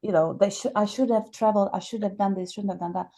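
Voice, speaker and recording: A woman's voice: mid-range voice, fairly even intonation, fast talker, warm tone. Slovak-accented woman hosting a podcast. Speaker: podcast host. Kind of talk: casual interview chat.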